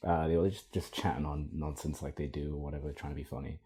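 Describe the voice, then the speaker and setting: Male podcast co-host, very low pitch, warm dark tone, halting conversational delivery. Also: level tone. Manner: hesitant, thinking aloud. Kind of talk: casual podcast chat.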